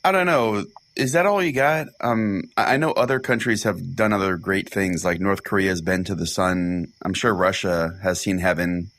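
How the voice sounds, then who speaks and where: A man's voice: low-pitched, moderate pace, hardly any pauses, fairly bright tone, no room sound. A man playing an earnest, smug pastor who hosts a comedic radio show, with a theatrical matter-of-fact delivery. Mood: smug debating. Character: earnest, easily scandalized male pastor hosting a radio show. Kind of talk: comedic mock talk radio hosting.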